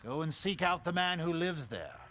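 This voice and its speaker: An actor playing a genial, enigmatic video game merchant who speaks with theatrical, courtly politeness. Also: male voice, on the high side, sing-song, normal speed, fairly bright tone, soft background music. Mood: coaxing, mysterious. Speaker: game shopkeeper.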